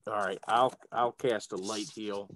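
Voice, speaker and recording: A man's voice: on the low side, fairly even intonation, a little slow, a bit bright. A man talking casually as a player in a tabletop game, stating his moves. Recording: very noisy audio with clicks.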